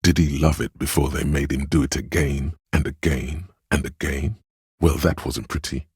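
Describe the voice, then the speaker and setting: An actor playing a grave, compassionate inner voice of empathy in a game, narrating in a measured, solemn and tender way. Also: male voice, very deep voice, steady tone, slightly rough, no room sound, studio quality, full character voice. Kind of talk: dramatic game narration, second person.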